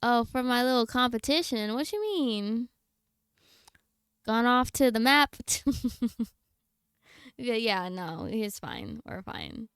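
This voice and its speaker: Smooth-voiced young woman streamer, dry sound. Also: on the high side, expressive, slow, pauses often, fairly bright tone, no echo. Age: young adult. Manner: slangy, blunt, candid. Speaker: game livestreamer. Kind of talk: casual livestream chat with viewers.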